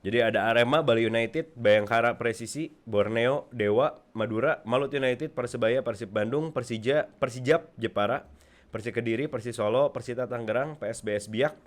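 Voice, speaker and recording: A man's voice: medium pitch, fairly quick. A man with a colloquial Jakarta Indonesian accent, hosting a casual football podcast in a chatty, slangy way. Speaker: sports podcast host.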